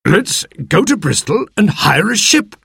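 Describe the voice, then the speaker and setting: A man voicing a loud, hearty, boastful older squire with a gravelly voice. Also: high voice, sing-song, unhurried, no echo, studio quality, voice pushed up, full character voice. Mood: eager.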